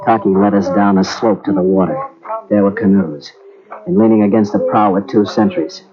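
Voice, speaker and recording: Man playing a gruff, wry soldier narrator; low voice; muffled old radio recording with faint music. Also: steady tone, normal speed, crowd noise, lightly acted. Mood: narrating. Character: tough wartime soldier narrator, wry and streetwise. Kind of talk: 1940s radio acting.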